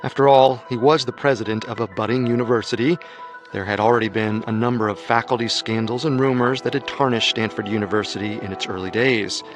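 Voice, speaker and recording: A man narrating a true-crime story in a measured, scripted storytelling manner. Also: mid-range voice, steady tone, faint music behind.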